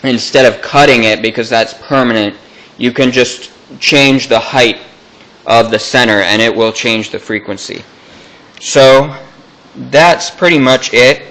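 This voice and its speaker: A man's voice: mid-range voice, unhurried, a bit bright, poor audio. A man giving an unscripted hands-on electronics demonstration, explaining things in a casual, matter-of-fact way.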